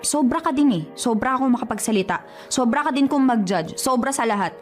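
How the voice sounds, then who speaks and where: A woman's voice: a little high, animated, fairly quick, close to an everyday voice. A woman playing a stubborn, self-sacrificing young woman in a radio drama, natural in delivery. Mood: teasing, defensive. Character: stubborn, self-sacrificing young woman resisting love for her sister's sake. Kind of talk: Tagalog radio drama acting. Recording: music behind the voice. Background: music.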